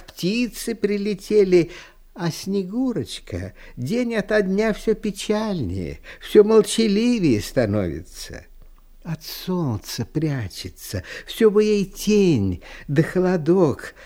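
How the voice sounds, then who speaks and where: Slow, high-pitched man telling a fairy tale. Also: a little mellow. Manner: unhurried, dramatic phrasing. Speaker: storyteller. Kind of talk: expressive fairy tale narration for an audio storybook.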